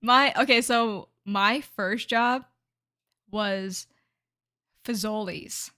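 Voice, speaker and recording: A young woman with a middle-pitched voice, talking in a relaxed, chatty podcast conversation. She is blunt and easygoing as she shares stories. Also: extremely slow. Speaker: podcast co-host. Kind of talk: casual chat.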